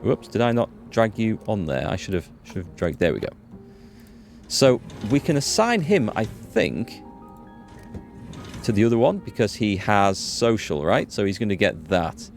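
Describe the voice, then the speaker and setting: Low-voiced man doing casual game commentary. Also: very animated, unhurried, a little mellow. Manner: thinking aloud, explanatory. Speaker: gaming video host. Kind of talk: casual let's play commentary. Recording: music behind the voice. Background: music.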